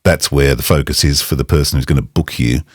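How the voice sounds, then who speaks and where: A man's voice: extremely low pitch, steady tone, fast talker, no echo, clean studio sound. A man in his sixties, a voiceover professional, explaining his work in an informal, plain-spoken conversational way. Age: 60s. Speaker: voiceover artist and demo coach.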